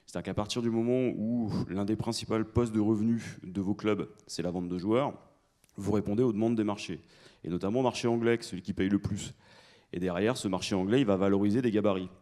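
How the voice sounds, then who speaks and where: A man speaking as a conference panelist in a university lecture hall, explaining in a measured, emphatic way and putting questions to the audience. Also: fairly low pitch, warm tone.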